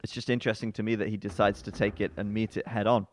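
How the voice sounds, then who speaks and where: Male esports caster, lowish voice, relaxed chatter with sudden excited shouts. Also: fast, a little hiss or hum. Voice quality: bassy-sounding voice. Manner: chatty, breaks into excited outbursts. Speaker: esports commentator. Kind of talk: live game commentary.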